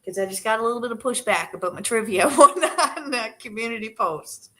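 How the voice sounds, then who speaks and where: A woman hosting a casual livestream from her living room, talking gently with a soft voice that trails off and plenty of hesitant restarts. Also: on the high side, a little fast. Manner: hesitant, many fillers and restarts. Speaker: livestream host with her dogs. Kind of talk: casual live chat to viewers. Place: living room, on the sofa with dogs.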